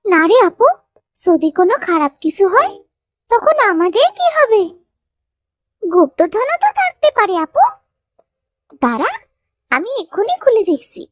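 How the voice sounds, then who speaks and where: Woman playing an excitable, very high-pitched young cartoon girl. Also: measured pace, long pauses, no room sound, studio quality, heavily acted. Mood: curious, excited. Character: young village girl sisters, excitable, easily frightened. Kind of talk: cartoon story dialogue.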